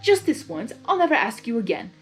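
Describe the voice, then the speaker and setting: A woman's voice: a little high, very animated, a little fast, faint music behind. A woman voicing a smug, blustering male creature of chaos in a theatrical, commanding way for a story reading. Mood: insistent. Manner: pompous, commanding, exclaiming.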